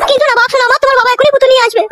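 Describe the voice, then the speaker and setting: Extremely high-pitched, very fast, fretful village wife and mother, coaxing, comedy cartoon, dry close sound. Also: level tone, fairly bright tone, no room sound. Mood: scolding, soothing. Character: flustered village wife and mother, shrill, pleading.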